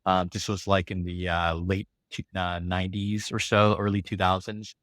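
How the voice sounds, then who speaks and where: An American man in his forties guests on a podcast and walks through his life story. His voice sits low and he rambles along with plenty of fillers. The sound is close and dry. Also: flat delivery, normal speed, a bit bright, no room sound. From California. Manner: rambling, many fillers and laughs. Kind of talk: casual interview storytelling.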